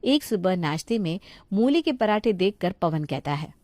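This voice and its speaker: A woman's voice: lowish voice, very animated, normal speed, darkish tone, no echo, clean studio sound. A woman reading scripted narration for a moral story as its narrator.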